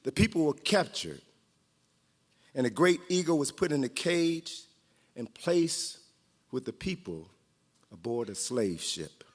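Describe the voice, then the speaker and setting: Animated male storyteller in a reverberant hall. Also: mid-range voice, a little slow, long pauses, husky. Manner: playful, dramatic, with joking asides. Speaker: guest storyteller, author and historian. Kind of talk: animated read-aloud storytelling to live group. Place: large hall at a training event.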